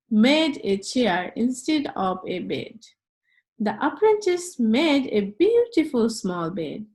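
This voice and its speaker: Woman for children's read-aloud and quiz: very slow, lively pitch swings, slightly high voice, clear teacherly address. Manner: deliberate, instructional, addressing young listeners directly.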